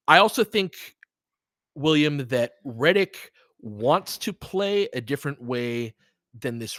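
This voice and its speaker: A man's voice: medium pitch, a bit bright, dry recording. Conversational male sports commentator, dry close sound. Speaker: sports podcast host and live play-by-play commentator. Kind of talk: casual analysis and live game commentary, chatting with listeners.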